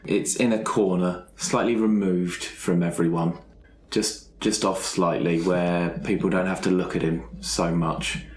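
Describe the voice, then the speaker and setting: A man improvising a character description for a roleplay game, speaking in a halting, wry way. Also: deep voice, fairly even intonation, soft background music. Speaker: player in a roleplay podcast. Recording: roomy, echoey sound with faint noise.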